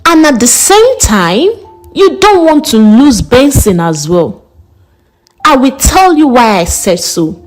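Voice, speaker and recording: A warm mother from a folktale, put on by a woman narrator. She is reassuring and frank, coaxing in a high, very animated voice. She speaks slowly over faint music. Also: exaggerated voice, poor audio. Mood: affectionate, coaxing. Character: warm, reassuring, direct middle-aged Nigerian mother.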